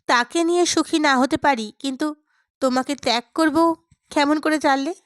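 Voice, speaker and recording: A woman voicing a reserved young man in an audiobook, quietly resistant, with brief questioning replies. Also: high voice, moderate pace, dry recording, clean studio sound, natural voice. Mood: restrained impatience.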